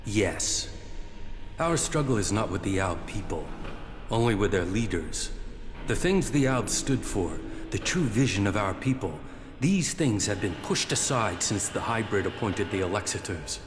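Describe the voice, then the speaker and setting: A man playing a wary, proud rebel soldier in a video game, speaking in a measured, formal and distrustful way. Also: lowish voice, animated, background noise. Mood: stern, measured. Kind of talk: video game cutscene acting. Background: music.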